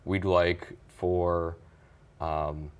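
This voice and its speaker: A man from a community environmental group, answering in a television news interview, deliberate with occasional fillers and restarts. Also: sits low, flat delivery, clear, resonant tone.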